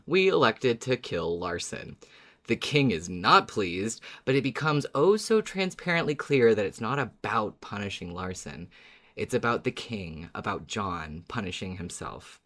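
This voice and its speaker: Man, podcast co-host, mid-pitched voice, playful and enthusiastic casual fan talk.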